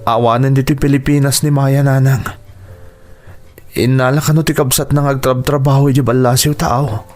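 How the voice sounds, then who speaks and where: A man's voice: medium pitch, fairly even intonation, moderate pace, darkish tone, faint music behind, close to an everyday voice. An actor playing a remorseful grown son longing for his estranged wife, pleading earnestly in Ilocano-accented radio drama style.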